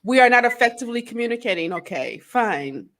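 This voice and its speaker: A woman's voice: on the high side, animated, fairly quick, a bit bright. A woman co-hosting a relationship talk show, teasing and laughing often, with casual slang. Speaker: co-host.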